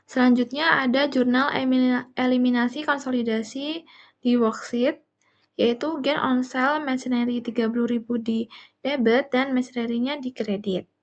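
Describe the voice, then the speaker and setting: Young woman, student presenting step by step, highish voice, dull lo-fi audio. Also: steady tone, normal speed. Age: young adult. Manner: explanatory, step by step. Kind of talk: classroom-style accounting presentation.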